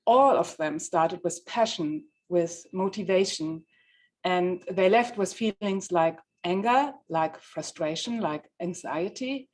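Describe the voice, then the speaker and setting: A woman's voice: lowish voice, takes it slowly, a little mellow, rough recording. A woman from Germany with a German accent, a journalist delivering a prepared presentation of a research project.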